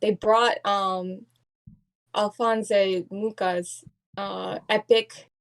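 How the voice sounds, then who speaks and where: A woman's voice: medium pitch, flat delivery, very slow, creaky. A woman, a guest artist, telling stories with animation in a casual podcast chat, with many fillers.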